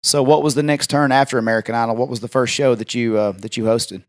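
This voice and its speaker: Fast-talking male podcast host. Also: medium pitch, fairly even intonation. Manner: friendly, rambling questions with restarts. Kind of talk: casual interview questions.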